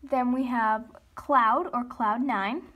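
A woman giving a casual guided tour of her model horse barn, listing each horse in a steady run of "and then". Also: fairly high pitch, slow, highs cut off. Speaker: model horse hobby vlogger. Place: indoors in a home room beside a toy horse barn.

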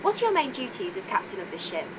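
Lively, quick-talking young female reporter. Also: mid-range voice, background noise. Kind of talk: presenting and interviewing.

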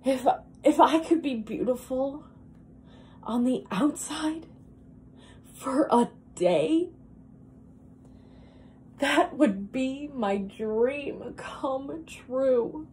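A woman performing a dramatic monologue as a wistful, self-deprecating woman who longs to be beautiful, speaking in a halting, confessional, emphatic way. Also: high-pitched, expressive, darkish tone, slight background noise, pitched above the performer's own voice, full character voice. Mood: wistful, pleading.

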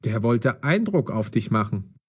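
An actor playing a police detective in an audio drama, commanding and mocking but fair. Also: male voice, mid-range voice, sing-song, unhurried, husky. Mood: matter-of-fact. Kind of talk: audio drama for language learners.

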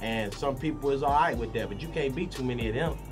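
A man with a Detroit accent lays out advice with emphatic conviction. Clear music runs under his voice. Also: medium pitch, fast talker. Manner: advising, emphatic. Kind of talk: candid interview talk.

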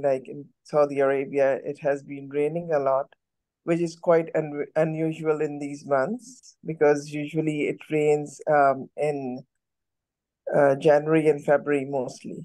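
Relaxed man on a video call. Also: on the high side, measured pace, dry recording. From Pakistan. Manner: relaxed, hesitant, many ums. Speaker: alumni participant. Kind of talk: casual self-introduction.

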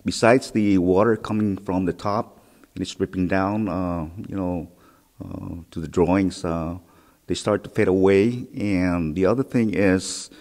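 A middle-aged man explaining a site, speaking haltingly with many fillers, recorded inside a cave. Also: deep voice, fairly even intonation, darkish tone. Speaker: historic preservation officer. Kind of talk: on-site interview. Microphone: handheld mic held close. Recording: long cave reverb.

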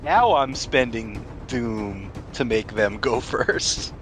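A man's voice: mid-range voice, expressive, slow pace, fairly bright tone, faint music behind. A man chatting casually as game master in a tabletop role-playing game.

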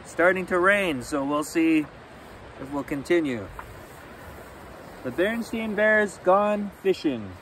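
A man in his forties reading a children's picture book aloud expressively, in simple, deliberate phrasing. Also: sits high, lively intonation, fairly bright tone. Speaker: storyteller reading children's bedtime stories. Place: outdoors on a rainy forested shore. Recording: outdoor ambient noise.

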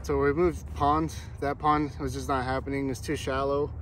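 A man's voice: mid-range voice, normal speed. Young man vlogging outdoors by a pond. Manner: relaxed, chatty, explains what he is doing. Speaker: fishing vlogger. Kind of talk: casual outdoor vlog narration. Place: outdoors by a pond on a windy day. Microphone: camera-mounted mic, point-of-view and selfie framing. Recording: faint wind and outdoor noise.

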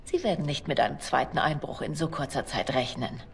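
Low-voiced, secretive female spy. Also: fairly quick, gravelly, slight background noise. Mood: guarded, reluctant. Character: smooth, confident, secretive female spy and lover. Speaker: voice actress in a video game. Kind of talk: German fantasy game dubbing.

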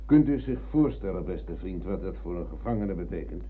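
An actor playing a proud, touchy older comrade and former prisoner of war, insistent and emphatic, in a Dutch radio drama. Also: male voice, deep voice, animated, brisk, breathy edge. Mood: reminiscing, eager. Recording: muffled, dull old radio recording.

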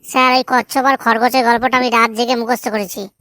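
A woman's voice: on the high side, level tone, brisk, a bit bright, no room sound, clean studio sound, close to an everyday voice. A woman voicing an eager young village pupil reciting in a comedy cartoon.

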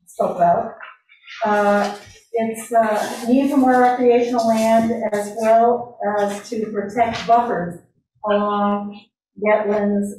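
A woman's voice: on the high side, warm tone, a little hiss or hum. A woman giving a public comment at a town meeting, reading prepared remarks with some hesitations. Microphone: standing at a floor mic in a large room. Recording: echoey room, voice from far off.

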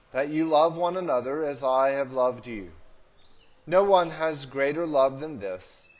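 Slow, solemn male priest. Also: mid-range voice, lo-fi sound. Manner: solemn, measured, deliberate phrasing. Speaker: priest leading a church service. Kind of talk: liturgical prayer and scripture reading. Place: small church with hard walls.

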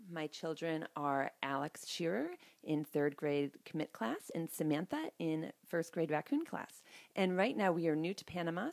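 A woman in her forties calmly answering interview questions on a handheld mic in a small school office. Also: low-pitched, no room sound. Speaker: guest parent.

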